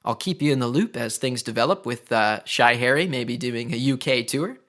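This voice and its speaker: A man's voice: mid-range voice, lively intonation, normal speed, a bit bright. A man hosting a podcast segment, speaking in a personal update monologue as a musician and music teacher.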